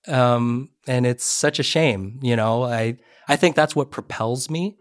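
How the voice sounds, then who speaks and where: A middle-aged man, a podcast guest, tells a personal story earnestly in a relaxed interview and often restarts his sentences. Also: medium pitch, a little slow, no room sound, clean studio sound. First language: Korean. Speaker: podcast guest, education advisor. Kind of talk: conversational interview.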